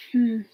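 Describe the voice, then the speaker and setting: A woman doing a semi-ASMR live question-and-answer chat in character, musing aloud in a halting, giggly manner. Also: phone-quality sound. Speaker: livestream host in character, answering viewer questions.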